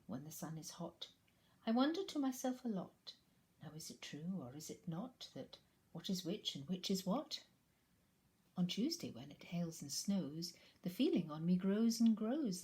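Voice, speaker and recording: A gentle, simple bear, as a woman reader puts him on recites and muses in a mild, dreamy sing-song. His voice wanders up and down, slow-witted and sweet in a storybook telling. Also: on the low side.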